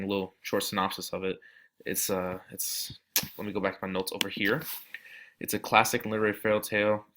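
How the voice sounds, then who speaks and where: Young male student, deep low voice, rambling casual presenter, lots of fillers. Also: a bit bright. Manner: rambling, many fillers and restarts. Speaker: student giving a class presentation. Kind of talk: casual screen-share class presentation.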